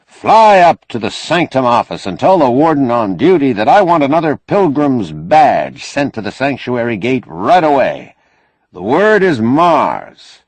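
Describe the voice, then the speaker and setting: A man voicing a folksy, pushy, glad-handing senator who preaches, loud and emphatic, for an audiobook. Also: medium pitch, sing-song, measured pace, no room sound, voice pushed up, poor audio. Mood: brisk, folksy. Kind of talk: audiobook character acting.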